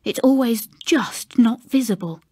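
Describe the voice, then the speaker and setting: A woman's voice: a little high, unhurried, warm tone, breathy edge, no room sound, clean studio sound. A woman voicing a gloomy, uneasy English husband in a ghost story, brooding and hesitant. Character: gloomy, uneasy husband haunted by something unseen.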